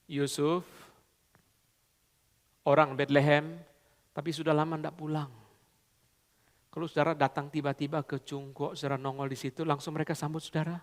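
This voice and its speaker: A man delivers a sermon in a high, pitched-up voice. He swings from loud, emphatic lines to quiet, confiding asides. His speech is full of English phrases and street slang, and it echoes with the long tail of a large church hall. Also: little pitch movement, normal speed, pauses often. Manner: repeats "saudara" constantly, mixes in English phrases and colloquial Jakarta slang. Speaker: pastor.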